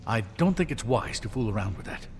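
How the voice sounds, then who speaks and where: Fussy officious man acted, swooping pitch, reverberant. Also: lively intonation, fairly quick, faint music behind, lightly acted. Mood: wary. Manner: fussy, cautioning, disapproving. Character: fussy, officious, disapproving male bureaucrat. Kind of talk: video game voice acting.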